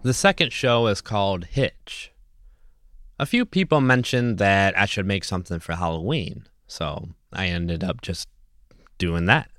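A man's voice: low voice, animated, unhurried, a bit bright, dry recording. A man hosting a podcast, talking casually and earnestly in an encouraging, motivational way. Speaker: podcast host. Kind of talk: casual motivational solo talk.

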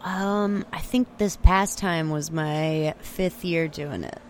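A woman's voice: low voice, takes it slowly, darkish tone, a little hiss or hum. A young woman from Texas, chatting casually as an interview guest, full of "like" and restarts. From Austin, Texas. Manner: chatty, filler-heavy, frequent "like" and restarts. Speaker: singer-songwriter guest. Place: remote location, portable recorder. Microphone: handheld recorder with external mics.

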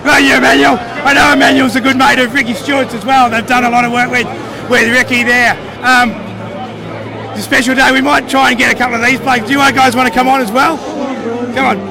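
An Australian man hosting live fan interviews outdoors, enthusiastic and rambling, his voice croaky. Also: extremely high pitch, little pitch movement, a little fast. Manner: enthusiastic, rambling, repeats words. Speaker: fan show host. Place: outdoors at a crowded pre-game bar. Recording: loud crowd and music behind. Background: crowd noise and music.

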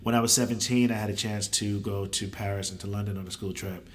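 A man's voice: on the low side, steady tone, quick pace. Nasal, stuffed-up man chatting casually. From United States. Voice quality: a little nasal. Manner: chatty, joking, laughs often. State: stuffed up with a slight cold. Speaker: guest. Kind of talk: casual chat.

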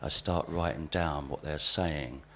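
A man with a very low voice performs spoken word. He reads short observed vignettes in a deadpan storytelling style, as at a live literary night. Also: unhurried, a little hiss or hum. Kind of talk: live reading of overheard vignettes. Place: live literary event in a venue.

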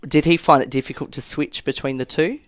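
A man hosting a podcast, reading a scripted intro and then asking gentle, supportive questions. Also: a little high, steady tone, brisk, no room sound, clean studio sound. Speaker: podcast host.

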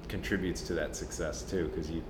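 A man hosting an interview in a relaxed, agreeable way, asking open questions and often affirming his guest. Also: low-pitched, a little fast, reverberant, noisy background. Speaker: podcast host. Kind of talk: casual interview chat.

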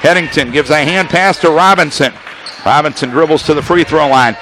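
High bright guy doing radio hoops play-by-play, rattling off every pass, bit of gym noise behind. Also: low-quality recording. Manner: rapid, detailed call of each pass with scores and clock. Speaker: play-by-play announcer.

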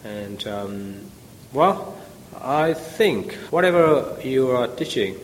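A man's voice: mid-range voice, expressive, slow, a little hiss or hum. Man with roomy echo. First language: Vietnamese. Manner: thoughtful, frequent fillers and restarts. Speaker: language teacher, interview guest. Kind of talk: casual interview answers.

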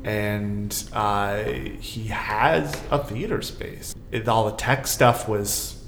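A man's voice: lowish voice, slow, fairly bright tone. Middle aged guy rambling casually on a panel, bit echoey, faint noise behind. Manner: discursive, thinking aloud. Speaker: guest co-host on a theater podcast. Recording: roomy echo, faint background noise.

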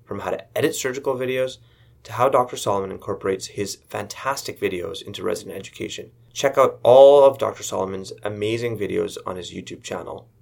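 A low, deeply gravelly man reads the show's introduction at a fast pace. His voice is husky and rough. The delivery is brisk and scripted. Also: darkish tone. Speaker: podcast host reading intro. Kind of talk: read introduction.

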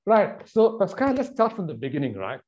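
A man's voice: sits high, huge pitch swings, a little mellow. Man hosting a podcast, lo-fi sound. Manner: measured, with frequent fillers. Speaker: podcast host. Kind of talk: interview podcast.

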